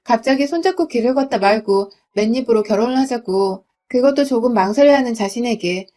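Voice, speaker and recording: A young woman from Gwangju narrating a first-person story in a smooth, confiding reading voice. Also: fairly high pitch, a little fast, dark tone. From Gwangju, South Korea.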